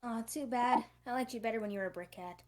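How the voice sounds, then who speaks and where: A high-voiced, bratty ten-year-old cartoon girl, acted by a woman in a table read. She is teasing and sharp-tongued, a cousin who loves to needle. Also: fast, lightly acted.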